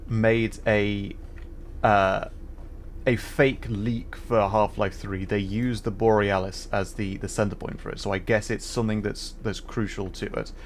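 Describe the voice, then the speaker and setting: A man's voice: fairly low pitch, a little hiss or hum. A man livestreaming a video game, giving casual commentary and explaining things in a hesitant, thoughtful way.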